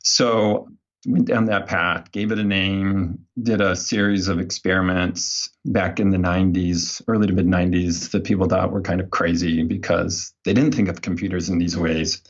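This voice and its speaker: A man's voice: sits low, flat delivery, normal speed, dull audio. A man speaking as an interview guest, telling his story in a casual, anecdotal way. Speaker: guest, behavior design researcher.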